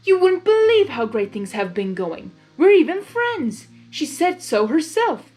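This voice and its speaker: A woman's voice: high-pitched, big pitch swings, a little slow, darkish tone, faint music behind, pitched above the performer's own voice. A woman voicing a cheerful, mischievous male draconequus who talks in chatty, theatrical exclamations for a story reading. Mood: gushing, excited.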